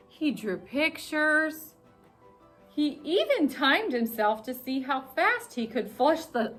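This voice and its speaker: A woman guest reader reading a children's story aloud in an expressive storytelling manner. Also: fairly high pitch. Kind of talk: reading a picture book aloud.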